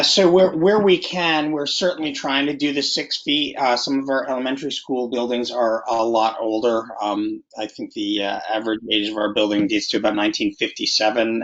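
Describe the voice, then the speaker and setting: A man's voice: mid-range voice, fairly bright tone. A man giving an informal update as a school board member in an online meeting. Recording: muffled video meeting audio.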